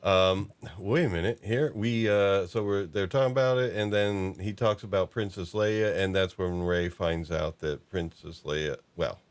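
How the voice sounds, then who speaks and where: A man hosting a casual chat, talking haltingly and circling back mid-thought. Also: low voice, clean tone. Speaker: podcast host. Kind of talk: casual chat about fan fiction and films.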